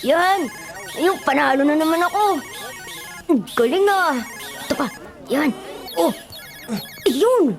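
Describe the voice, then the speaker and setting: A woman's voice: high-pitched, expressive, slow pace, long pauses, music in the background, exaggerated voice. A woman with a Filipino accent voicing a small, innocent, mistreated orphan boy in a radio drama, childlike and pleading. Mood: playful.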